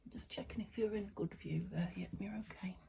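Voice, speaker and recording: A woman from the UK chatting through a craft-along, thinking aloud and often dropping to a half-whisper, her voice a little croaky. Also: mid-range voice, fairly quick, mellow, slight background noise. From United Kingdom. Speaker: craft vlogger. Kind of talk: casual craft-along chat.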